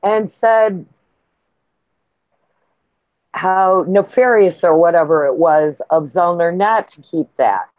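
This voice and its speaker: A man talking casually and a little haltingly as a panelist in a live discussion. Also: high voice, no echo. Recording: muffled, lo-fi call audio.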